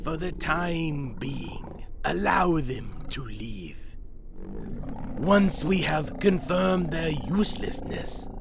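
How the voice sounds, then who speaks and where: An actor playing a cold, menacing vampire lord in a radio play, with a slow, theatrical, savoring delivery. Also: male voice, on the high side, animated, vocal fry, background noise, heavily acted. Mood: amused contempt.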